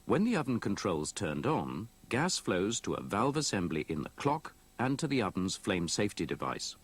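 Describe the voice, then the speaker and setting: Low-voiced male training narrator, slightly husky. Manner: measured, explanatory, methodical. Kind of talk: scripted instructional narration. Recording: old VHS tape copy.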